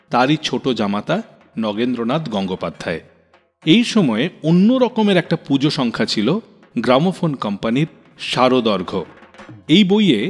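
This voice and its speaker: A man hosting a radio show, narrating in a lively storytelling way and reading old texts aloud. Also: mid-range voice, big-room sound. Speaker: radio host.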